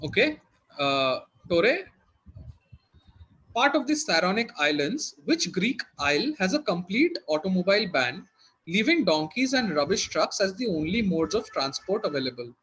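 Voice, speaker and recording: A man's voice: high voice, very animated, moderate pace, a bit bright, breathy edge, muffled recording. A man working as a quizmaster, reading out questions and hosting in a conversational way.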